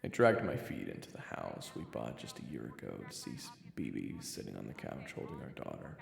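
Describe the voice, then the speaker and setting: Man acting a very deep, gravelly, brooding husband. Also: sing-song, brisk, reverberant, full character voice. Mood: brooding, grim. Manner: brooding, weary. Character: brooding weary ex-killer husband fighting his inner demon. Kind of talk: audio drama first-person narration and dialogue.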